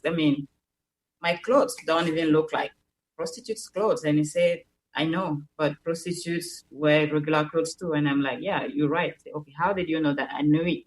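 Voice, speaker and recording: A woman's voice: deep voice, low-quality recording. A young woman from Cameroon with a Cameroonian French accent, a native French speaker, earnestly telling a personal story as a radio show guest and repeating words as she searches for them.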